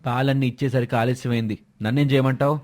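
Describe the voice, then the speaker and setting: A man's voice: mid-range voice, little pitch movement, fast, darkish tone, close to an everyday voice. A man playing a greedy, defensive village donkey-milk seller and husband in a fairy tale. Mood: grumbling. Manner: defensive, complaining. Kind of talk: acted dialogue in a moral fairy tale.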